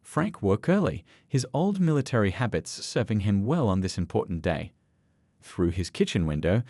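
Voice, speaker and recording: Lively low-voiced male story narrator. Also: no room sound. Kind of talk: scripted dramatic story narration.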